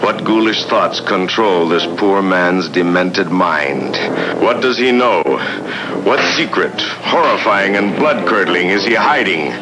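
A man's voice: sits low, expressive, slow pace, low-quality recording. An actor playing an ominous, melodramatic male announcer narrating a 1950s horror film trailer. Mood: lurid dread. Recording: vintage film trailer with loud music. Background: loud dramatic film music.

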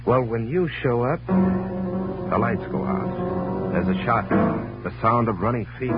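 A laid-back bluesman and cafe owner in a vintage radio drama. His low voice speaks in cryptic, poetic lines. Loud music plays under him on a muffled recording. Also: full character voice. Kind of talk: 1940s-50s radio drama acting.

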